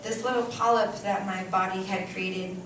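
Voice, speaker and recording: A woman reads a story aloud on stage with theatrical, deliberate expression and dramatic pauses. Her medium-pitched voice rings through a very echoey room, picked up at some distance, with clear noise behind it. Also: steady tone. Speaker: reader performing a short story on stage. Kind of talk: dramatic live literary reading. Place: indoor cafe stage before an audience.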